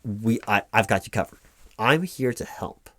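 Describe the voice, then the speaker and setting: A man's voice: on the low side, lively intonation, normal speed, a bit bright, no room sound. A man playing a reassuring, rambling office helper who talks through paperwork in a spoken-aloud ASMR roleplay. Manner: rambling, hesitant asides with stammered repeats, reassuring. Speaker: roleplay performer playing a workers' compensation claims helper. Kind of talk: loud-spoken ASMR roleplay, reading forms aloud with ad-libbed asides.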